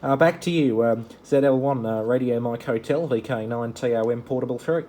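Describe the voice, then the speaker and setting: A man making an amateur radio exchange in a matter-of-fact, halting way. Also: medium pitch, warm tone. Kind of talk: ham radio call exchange. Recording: faint background noise.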